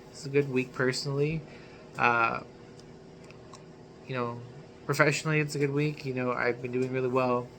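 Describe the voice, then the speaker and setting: A man's voice: medium pitch, fairly bright tone, a little hiss or hum. A man hosting his own channel, chatting casually to viewers in a conversational, slangy way. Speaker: YouTube channel host. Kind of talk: casual vlog chat to viewers.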